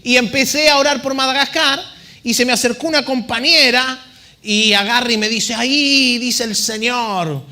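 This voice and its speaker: A man's voice: very high voice, normal speed, sharp tone. A man, telling his testimony to a church congregation in a joking, chatty way. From Argentina. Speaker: missionary guest speaker.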